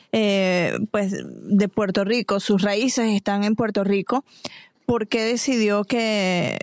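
A woman interviewer asking long, measured questions with small fillers. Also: medium pitch, no echo, clean studio sound.

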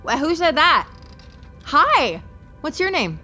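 A peppy female corporate tour guide in an audio drama. Her voice is fairly high and very bright, with a sassy, over-enthusiastic way of coaxing a group along. She sounds chirpy and forceful. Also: sing-song, takes it slowly, soft background music, exaggerated voice. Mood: perky, coaxing. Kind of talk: audio drama dialogue, addressing a school group.

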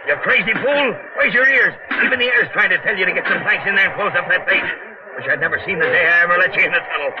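Casting: actor as gruff, worried veteran sandhog dad, higher-pitched male voice, rough slang, vintage radio drama with heavy noise. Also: quick pace, lightly acted. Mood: angry, alarmed. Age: middle-aged. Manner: rough working-class slang, blunt. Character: gruff, cautious veteran tunnel digger and worried father. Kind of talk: 1940s radio acting. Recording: muffled, noisy 1940s radio broadcast.

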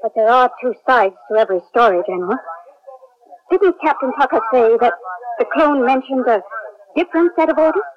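A woman's voice: high voice, animated, brisk, crowd noise. A woman acting a questioning military major in a 1970s radio drama, polite and probing. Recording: dull 1970s radio recording.

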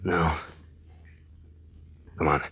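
An actor playing a calm, commanding male captain in a 1940s radio drama, with clipped orders, old broadcast recording. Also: drawn-out words. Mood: tense.

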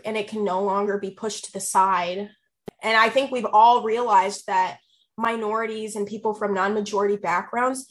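Earnest young woman speaking in measured phrases. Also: mid-range voice. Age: 20s. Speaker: interviewee. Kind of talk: earnest personal reflection.

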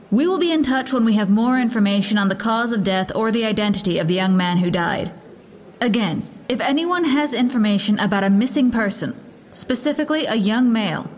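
An actress with an American accent playing a stern, impatient police lieutenant, speaking formally and with authority as in an audio drama press briefing. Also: female voice, mid-range voice, natural voice. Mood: firm, official.

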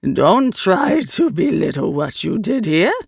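A woman voicing a stern, guarded creature in an audiobook story, with drawn-out, deliberate speech. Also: on the low side, very animated, creaky, husky, no room sound, clean studio sound, exaggerated voice. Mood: grave, accusing. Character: the Eye, floating many-armed creature, slow, stern, guarded.

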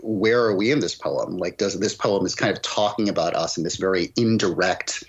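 A man's voice: deep voice, moderate pace, slightly rough, highs cut off. A man talking as a guest critic in a literary podcast discussion, analytical and thinking aloud, often posing rhetorical questions.

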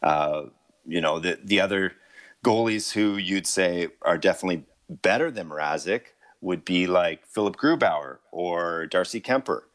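A man appearing as a guest hockey writer on a sports radio show, thinking through options aloud in an analytical way. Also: low-pitched. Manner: thoughtful, weighs options aloud.

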